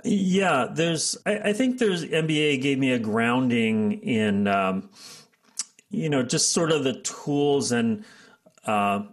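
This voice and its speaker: A man's voice: mid-range voice, expressive, slow pace. A middle-aged man, telling his career story as an interview guest, full of fillers and restarts. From United States. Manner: rambling, many fillers and restarts, laughs often.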